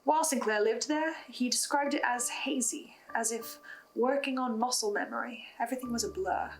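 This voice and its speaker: A woman's voice: on the high side, moderate pace, slight background noise, poor audio. A woman presenting a scripted recap of a horror story, adding her own theories and asides. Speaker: host of a recap and theory video on a horror podcast.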